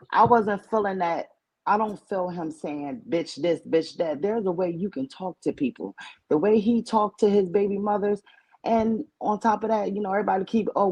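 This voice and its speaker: A woman's voice: medium pitch, darkish tone, breathy edge, rough recording. A woman in her forties calling in to a talk show, firm and argumentative as she pushes her point. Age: 40s. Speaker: caller. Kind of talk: casual call-in chat.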